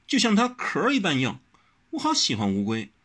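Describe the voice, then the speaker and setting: A man's voice: high voice, wildly swooping pitch, moderate pace, fairly bright tone, breathy edge, pitched above the performer's own voice, exaggerated voice. A man voicing a headstrong, pouty young swordswoman in an audiobook, whiny and insistent. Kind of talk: audiobook character reading.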